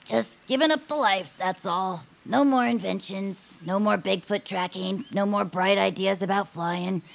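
A woman voicing a wry, dreamy young female peepkin inventor in an audio drama, with a slightly gravelly voice. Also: medium pitch, measured pace. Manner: wry, deadpan, theatrical.

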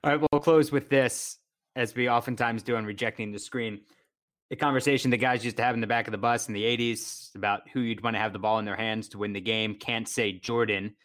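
A man's voice: mid-range voice, fairly even intonation, a little fast, fairly bright tone. An American man hosting a sports podcast in a conversational interview style.

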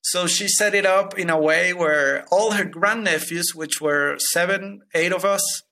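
A man's voice: sits high, measured pace, pushed and bright. A man in his thirties from Venezuela, a guest telling his money story with many hesitant restarts. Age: 30s. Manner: hesitant, many repeated starts. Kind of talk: personal finance interview.

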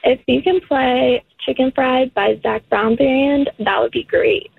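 A woman in her thirties calls into a radio show over a tinny phone line. Her small, high voice has a gravelly, husky edge, and she speaks softly with frequent giggles. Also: unhurried, dry recording. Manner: giggly, soft-spoken. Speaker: radio caller. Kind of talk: casual phone chat.

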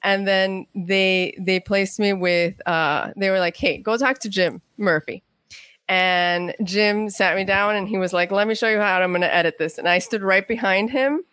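A middle-aged American woman telling anecdotes as a guest in a casual interview, chatty and quick to act out dialogue. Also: medium pitch, fairly even intonation, fairly bright tone, no echo.

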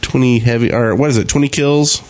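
A man's voice: medium pitch, fairly even intonation, a little fast. Man chatting, slightly noisy recording. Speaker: podcast co-host. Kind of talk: casual gaming podcast chat.

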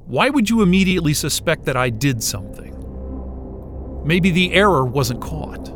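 An actor playing a nervous, evasive astrophysicist in an audio drama, casual and defensive, with stammers. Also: male voice, a little high, big pitch swings, moderate pace, slight background noise. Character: evasive, nervous male astrophysicist at a polar station. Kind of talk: naturalistic audio drama acting.

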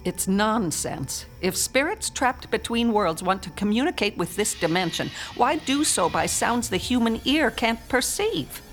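A theatrical séance medium in a radio horror play, high-voiced and very slow. Her phrasing is grand and swoops widely, with a possessive, scornful edge. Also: lively intonation, faint music behind. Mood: dismissive. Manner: dramatic, breathy whispers, grand phrasing. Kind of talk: radio horror drama acting.

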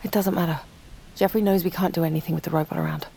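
An actress playing a weary, guarded captive woman, frank and insistent, hushed and urgent, in an audio drama. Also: on the low side, steady tone, fast, vocal fry, a little hiss or hum. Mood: frustrated. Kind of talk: scripted audio drama acting.

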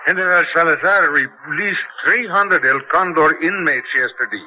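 An actor playing a wry newspaper editor in a 1970s radio drama. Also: male voice, on the high side, normal speed, bright, forceful tone. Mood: wry, sardonic. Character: wry, sardonic Latin American male newspaper editor. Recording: muffled old radio, faint noise.

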